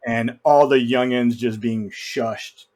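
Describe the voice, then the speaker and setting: A man's voice: medium pitch, fairly even intonation, slow, a little mellow. A man with a mid-range voice co-hosts a film podcast. He talks casually, explaining his points as he goes. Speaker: podcast co-host.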